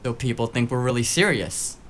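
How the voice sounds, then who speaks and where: An actor playing a cheeky, eager, tech-savvy sidekick in a noir audio drama, with breezy, casual banter. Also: male voice, mid-range voice, brisk, a bit bright, a little hiss or hum, close to an everyday voice.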